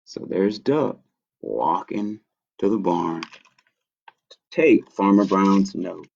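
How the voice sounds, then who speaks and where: A man reading a children's story aloud as a storytime host, playful and deliberate in his delivery. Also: low voice, creaky, darkish tone. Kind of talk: expressive children's picture-book storytelling. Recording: dull lo-fi stream audio.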